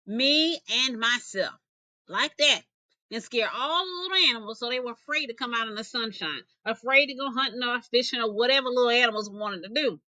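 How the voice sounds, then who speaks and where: Woman, bright forceful tone, expressive story reading, teacherly, dry close recording. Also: fairly high pitch, a little fast, no echo, low-quality recording. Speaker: teacher reading stories aloud. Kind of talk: expressive folk-tale storytelling.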